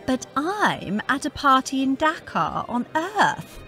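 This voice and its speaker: A woman playing a naive, snobbish high-society lady, gushing and theatrical, in a comic sci-fi audio drama. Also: very animated, slow, sharp tone, soft background music, exaggerated voice. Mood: gushing, curious.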